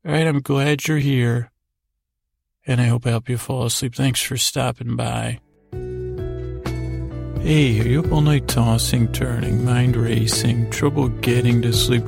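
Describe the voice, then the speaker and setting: Male bedtime podcast host: heavy vocal fry, narrow pitch movement, halting and digressive delivery. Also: darkish tone, no echo, studio quality. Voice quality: heavily creaky, gravelly. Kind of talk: rambling bedtime podcast monologue.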